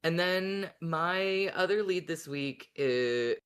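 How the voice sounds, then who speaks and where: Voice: mid-range voice, slow, fairly bright tone, clean tone. A podcast co-host in casual, speculative fan chat, musing and thinking aloud.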